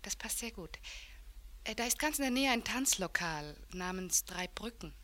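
An actress playing a cool, insistent femme fatale in a crime radio drama, speaking in a poised, coaxing way. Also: female voice, medium pitch, lively intonation, normal speed, sharp tone. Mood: persuasive, insistent. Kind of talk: 1970s radio drama acting.

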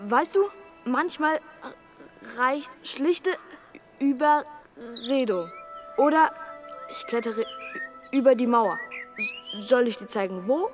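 An actor playing an excitable, cynical schoolboy with a stammer and a theatrical, florid way of talking in a German radio drama. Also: male voice, very high voice, takes it slowly, pauses often, soft background music, full character voice. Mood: teasing, nervous.

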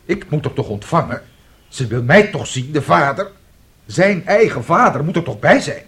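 A plaintive, self-pitying ageing composer. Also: male voice, on the high side, very animated, moderate pace, fairly bright tone. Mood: pleading. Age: middle-aged. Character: aggrieved, dreamy, ageing failed composer and father. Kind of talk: radio drama acting.

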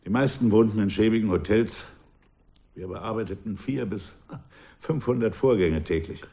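An older man playing a former revolutionary in a radio drama, recalling his past in a measured, wistful way that often drops to a hush. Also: fairly low pitch, creaky. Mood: reflective. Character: aging male ex-revolutionary, reflective and proud. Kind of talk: radio drama acting, reflective narration.

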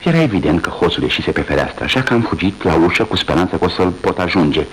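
A man's voice: low voice, expressive, slight background noise, poor audio. An actor playing a flustered, shaken male journalist who stammers, in a 1980s radio drama.

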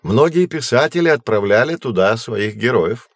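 An actor playing a patient, knowledgeable man who explains astronomy to children in a measured, reassuring way in an educational audio drama. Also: medium pitch, expressive, dry recording, clean studio sound. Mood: explanatory, patient.

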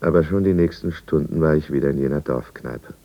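An actor playing a remorseful drinker narrating his own downfall in a 1950s German radio drama, measured and brooding. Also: a bass voice, vocal fry, heavily acted. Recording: dull, muffled 1950s radio recording.

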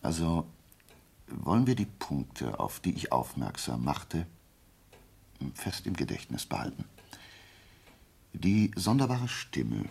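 An actor playing a brilliant, self-assured detective in a German radio play, explaining deliberately and prodding with rhetorical questions. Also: male voice, very deep voice, sing-song, vocal fry, darkish tone, husky, exaggerated voice. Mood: analytical, emphatic. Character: brilliant, methodical male amateur detective, self-assured and theatrical.

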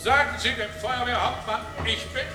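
A pompous theatre star in a radio drama. His voice is pitched high and declamatory, full of indignation. It rings in a long echo with music behind. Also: fairly even intonation, normal speed. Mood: indignant, pompous. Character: pompous, indignant male state stage actor. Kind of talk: German radio play acting. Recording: echoey hall, music behind.

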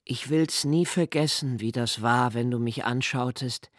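An actor playing a reflective, melancholy diarist in a literary radio play, speaking intimately and pensively with halting phrasing. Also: male voice, mid-range voice, dry recording, clean studio sound, close to an everyday voice. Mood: tender, wistful. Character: reflective, melancholy male diarist recalling love and childhood.

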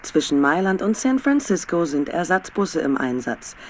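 Low-voiced female radio announcer. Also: very animated, moderate pace, slight background noise. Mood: matter-of-fact. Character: composed female radio news and weather announcer. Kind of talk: news bulletin in a radio play.

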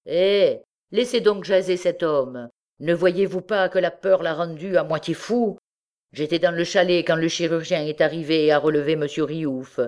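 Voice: medium pitch, moderate pace, darkish tone, natural voice. A narrator voicing a matter-of-fact bystander who explains insistently in a storybook reading. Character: reasoning bystander calming a quarrel, matter-of-fact witness.

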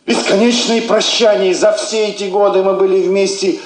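An actor playing a middle-aged military husband, weary, lonely and wistful, in a theatrical radio drama. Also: male voice, high-pitched, fairly even intonation, normal speed, reverberant, natural voice, rough recording.

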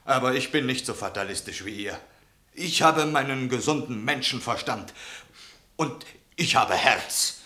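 An actor playing an agitated, reproachful outsider of conscience in a radio play, speaking with passionate exclamations in a roomy space. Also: male voice, medium pitch, a little slow, pushed and bright. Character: agitated, accusing man of conscience, outsider in town. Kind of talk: German radio play acting.

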